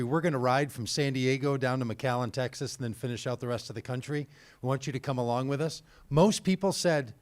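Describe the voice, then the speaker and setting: Man, event host, chatty and energetic, live talk, audience quiz. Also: fairly high pitch. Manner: energetic, conversational, quizzing the audience. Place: indoor event venue.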